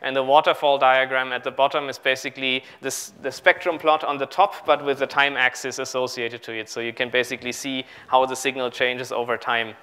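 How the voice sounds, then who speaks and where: A man in his thirties speaking haltingly as he improvises a live technical demonstration as a conference speaker. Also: mid-range voice, steady tone, bright, forceful tone, smooth. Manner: halting, improvising while working at a laptop. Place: large auditorium on stage with audience.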